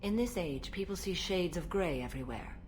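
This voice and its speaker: Low-voiced, solemn alien warrior woman, played by an actress. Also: animated, darkish tone, slight background noise, lightly acted. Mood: solemn, resolute. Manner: formal, measured, solemn. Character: ancient alien warrior woman, stern, serene, unyielding. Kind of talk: video game dialogue acting.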